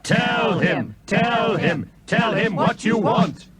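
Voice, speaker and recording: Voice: mid-range voice, animated, slow pace, a loud crowd. A singer delivering repeated refrains in a children's musical story chorus. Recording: loud music and drums.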